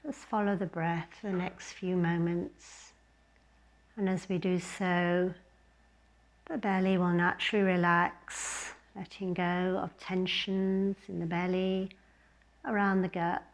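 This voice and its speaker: A woman teaching restorative yoga, guiding relaxation gently in short phrases with long pauses. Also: on the low side, flat delivery. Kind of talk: guided relaxation class.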